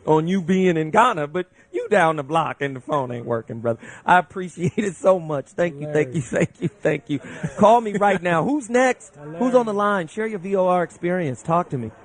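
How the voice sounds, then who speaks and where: A man with a fairly high speaking voice hosts a radio call-in show. He talks in a loose, casual way, thanking callers and laughing easily. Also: expressive. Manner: laid-back, warm thanks to callers, quick laughs.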